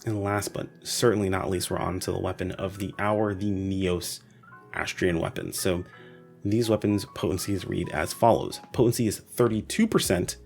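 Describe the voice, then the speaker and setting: A man's voice: low voice, steady tone, normal speed, vocal fry, darkish tone. A man giving a casual, conversational explainer commentary for a gaming video, with emphatic asides. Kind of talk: casual explainer commentary on game weapon stats. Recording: voice-over with music behind.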